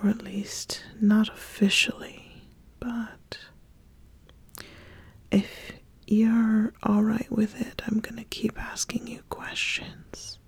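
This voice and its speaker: A woman playing an ambitious, appraising witch in a soft, breathy, deliberate ASMR roleplay whisper. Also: on the high side, little pitch movement, heavily acted. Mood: probing, reserved. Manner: slow, deliberate, measured, with long pauses. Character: ambitious, appraising witch interviewing a would-be apprentice.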